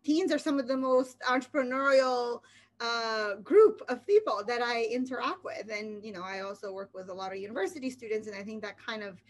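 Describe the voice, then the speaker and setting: A woman's voice: a little high, fairly quick. A middle-aged woman hosting a casual interview over a video call from home, chatty and encouraging with plenty of fillers. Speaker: interview host. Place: home room with slanted wooden ceiling. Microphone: laptop webcam on video call.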